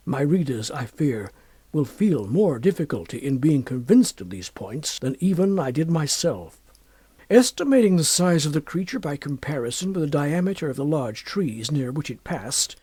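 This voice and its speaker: A male audiobook narrator with a husky, fairly high-set voice reads a classic horror tale. His formal, measured delivery swings widely in pitch as he shapes each deliberate phrase. The sound is dramatic and literary. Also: a little mellow, no room sound.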